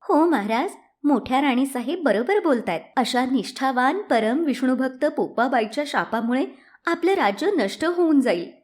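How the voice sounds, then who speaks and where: A woman's voice: high voice, expressive, moderate pace, darkish tone, pitched above the performer's own voice. A woman voicing an anxious younger queen in a storybook tale, deferential and pleading. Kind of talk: storybook character acting.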